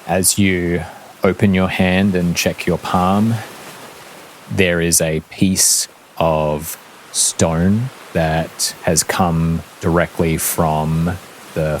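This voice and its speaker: Give me a man with a very low voice running a fantasy tabletop game. He narrates descriptively at a very slow, measured pace, with clear hiss behind him. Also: poor audio. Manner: measured, descriptive, deliberate pauses. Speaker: game master.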